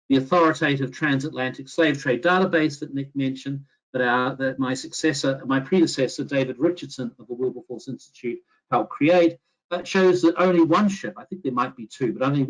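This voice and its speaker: A middle-aged man with a mixed New Zealand, Australian, English and American accent, giving an academic history lecture over a video call, measured and full of "uh" fillers and self-corrections. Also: medium pitch, poor audio. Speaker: history professor giving a webinar talk.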